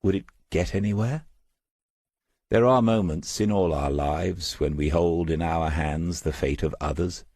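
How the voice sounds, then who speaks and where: A man reading a scripted crime novel as an audiobook narrator, in a measured storytelling manner. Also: extremely low pitch, darkish tone, dry recording, studio quality.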